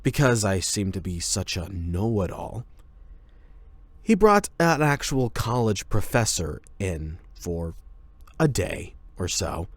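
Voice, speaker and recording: A man playing a cocky, rebellious high school delinquent in an anime boyfriend roleplay, talking in a casual, sardonic, slangy way. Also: sing-song, takes it slowly, no echo, full character voice. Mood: smug, amused.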